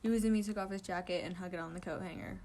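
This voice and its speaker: A woman's voice: on the low side, fairly even intonation, very fast, warm tone, slight background noise. A woman reading a story aloud informally, sometimes stumbling and correcting herself. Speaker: amateur fan fiction reader.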